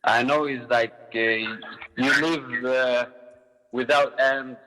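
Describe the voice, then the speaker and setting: A man's voice: medium pitch, fairly even intonation, slow pace, pushed and bright, big-room sound, rough recording. A man calling into a debate, answering in a hesitant, unsure way. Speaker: caller. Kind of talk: live debate call.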